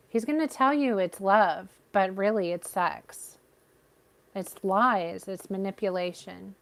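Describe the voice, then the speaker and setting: A woman giving a live tarot reading with a soothing, gentle voice, talking straight to her viewers. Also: medium pitch. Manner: gentle, reassuring, addresses viewers by name. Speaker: tarot reader.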